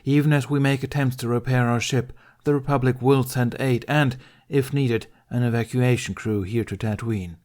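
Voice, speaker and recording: A man playing a calm, commanding Jedi master. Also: mid-range voice, pitched above the performer's own voice, close to an everyday voice. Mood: reassuring, projecting. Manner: formal, measured, enunciated. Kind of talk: acted audiobook dialogue in a science fiction story.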